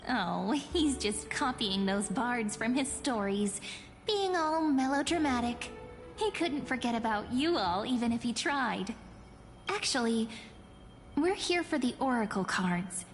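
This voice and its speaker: A woman's voice: on the high side, animated, measured pace. A woman playing a teasing, fond young fortune-telling girl in a video game. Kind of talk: video game character acting. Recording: long hall reverb, faint music.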